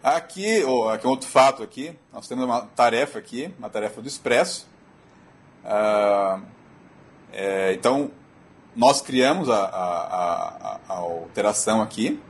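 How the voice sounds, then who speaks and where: A Brazilian man with a slightly husky voice giving a technical presentation in a halting, repetitive, explanatory way. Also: on the low side, animated. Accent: Brazilian Portuguese. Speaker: technical speaker. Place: auditorium.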